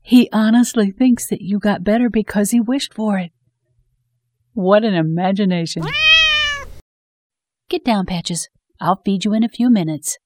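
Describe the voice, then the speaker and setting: A woman playing a gentle, sensible mother of a young boy in an audio drama. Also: mid-range voice, unhurried, pauses often, close to an everyday voice. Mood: sensible, skeptical.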